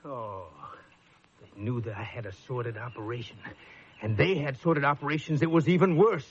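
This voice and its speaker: An actor playing a high-strung, boastful, paranoid lighthouse keeper who rambles excitably in a radio drama. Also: male voice, moderate pace, slight background noise, lightly acted. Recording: muffled radio drama recording.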